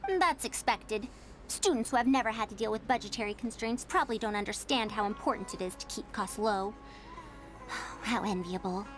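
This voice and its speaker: A woman's voice: medium pitch, normal speed, faint music behind, lightly acted. A woman voicing a haughty senior female scholar in a video game, prim and self-important, with lofty phrasing. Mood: stern.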